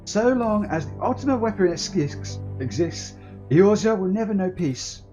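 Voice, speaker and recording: A man voicing a solemn, resolute fantasy game leader with formal, measured delivery. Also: sits high, animated, dark tone, highs cut off, soft background music, voice pushed up.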